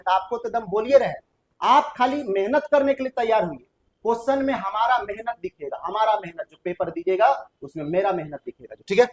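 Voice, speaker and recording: Male coaching teacher, high voice, Bihari accent. Informal, emphatic lecturing with plenty of repetition. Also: slight background noise, rough recording. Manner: emphatic, repetitive, with colloquial tag phrases.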